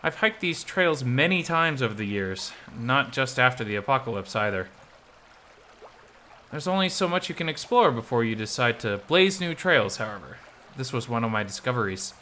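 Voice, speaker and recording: A man's voice: medium pitch, big pitch swings, normal speed, bright, forceful tone, a little hiss or hum. A man playing a self-assured, teasing male wilderness guide in a visual novel, explaining things in a wry way. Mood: matter-of-fact.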